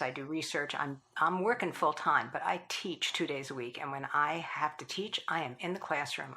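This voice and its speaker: Low creaky woman, bright tone. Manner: thoughtful, with frequent restarts and soft laughs. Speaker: philanthropist and former professor. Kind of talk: conversational interview.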